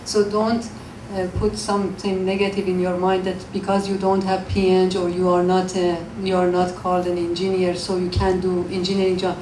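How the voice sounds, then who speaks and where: A woman's voice: on the low side, monotone, normal speed, a little mellow. Earnest woman panelist in an echoey room. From came to Canada as a newcomer. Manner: earnest, encouraging, practical advice. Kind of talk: panel discussion. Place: classroom panel table. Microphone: handheld mic. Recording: echoey classroom, faint noise.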